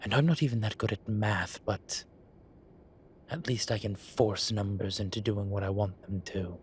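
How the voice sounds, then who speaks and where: A man playing an ancient, bookish incubus demon professor in an audio roleplay, dry and exasperated, with halting, sighing delivery. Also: fairly low pitch, fairly even intonation, slight background noise, close to an everyday voice. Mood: apologetic, weary. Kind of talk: audio roleplay acting, intimate one-sided dialogue.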